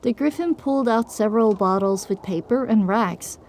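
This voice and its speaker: A woman's voice: on the high side, normal speed, a little mellow, slight background noise. A woman narrating an audio drama in the first person with a dramatic, scripted delivery.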